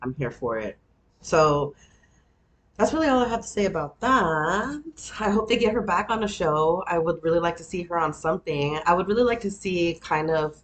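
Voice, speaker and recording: A woman's voice: deep voice, fairly bright tone. A woman in her thirties from Texas with a Texan twang, host of a show, chatting casually on her own in a rambling, self-deprecating way with frequent laughs. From Texas, USA. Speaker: podcast host recapping a reality show. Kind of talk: casual solo chat.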